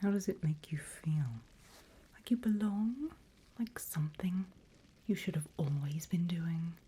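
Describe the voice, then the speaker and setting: A seasoned female demon guide, acted out by a woman for an ASMR roleplay. The voice is low and soft-edged, mostly an extremely breathy whisper. The delivery is slow and drawling, with a teasing, silkily condescending menace. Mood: silky, sly.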